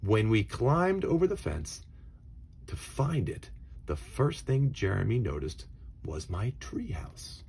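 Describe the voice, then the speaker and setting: A man reads a children's storybook with a very raspy, low voice. His delivery swings widely in pitch, dramatic and expressive, moving between hushed and emphatic phrasing. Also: takes it slowly. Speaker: storybook reader.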